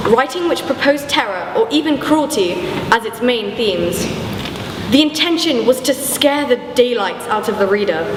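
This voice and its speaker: A young woman reading scripted, documentary-style narration in an echoey hall with background noise. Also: fairly high pitch. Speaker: pupil narrator.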